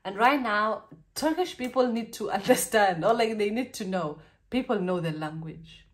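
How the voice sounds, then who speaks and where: Young Kenyan woman, candid storytime vlogger, animated and chatty, in her bedroom. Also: medium pitch, normal speed. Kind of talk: casual storytime vlog. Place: small bedroom, indoors.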